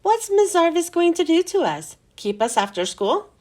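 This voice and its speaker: A woman's voice: very high-pitched, big pitch swings, moderate pace, a little mellow, pitched above the performer's own voice. A woman voicing an anxious, defiant schoolgirl in a children's storybook. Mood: worried questioning. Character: defiant, anxious schoolgirl puzzling out her predicament.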